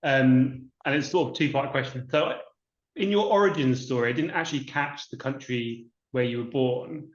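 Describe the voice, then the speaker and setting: A man's voice: mid-range voice, normal speed. A man asking a long, rambling question, hedging often and restarting. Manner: hesitant, rambling, hedging with "sort of". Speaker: audience member asking a question. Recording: dull sound in a roomy space.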